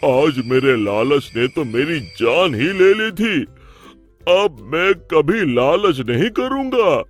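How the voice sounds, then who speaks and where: A man's voice: a little high, sing-song, slow, faint music behind, pitched above the performer's own voice, full character voice. A man voicing a cowardly, greedy merchant in a cartoon moral story, whining and melodramatic. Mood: remorseful. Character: cowardly greedy male merchant, pleading and wailing.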